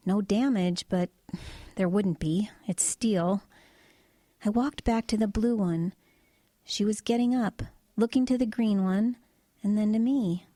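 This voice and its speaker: A woman acting as a female truck driver in an audio drama, with a soft, mellow voice at a middling pitch. She tells her eerie story matter-of-factly and with understatement, pausing to search for the right words. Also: no room sound, clean studio sound, natural voice. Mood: quiet, wistful.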